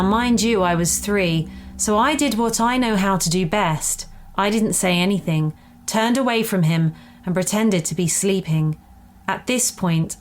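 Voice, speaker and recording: A woman's voice: on the low side, a little hiss or hum. A woman reading a scripted first-person story aloud in a conversational way, as a storyteller. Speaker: story reader.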